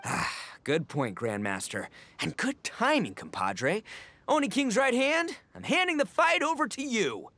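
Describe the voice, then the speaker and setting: A man's voice: very high voice, huge pitch swings, unhurried, pushed and bright, dry recording, full character voice. An actor voicing a brash, goofy young male oni gang leader in a video game, bombastic and slangy. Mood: upbeat, conceding. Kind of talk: animated video game voice acting.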